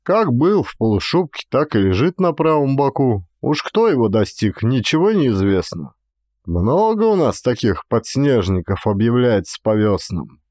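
A man's voice: mid-range voice, very animated, normal speed, a little mellow, dry recording, studio quality. A man voicing a plain-spoken village coachman in an audiobook, telling things in a folksy, rustic way. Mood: matter-of-fact, rueful.

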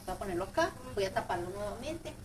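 A woman's voice: medium pitch, expressive, quick pace. A chatty woman with a Paisa Colombian accent giving a casual cooking demonstration, often repeating words for emphasis. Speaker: home cook, video host. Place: home kitchen, indoors. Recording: clattering kitchen noise, distant microphone.

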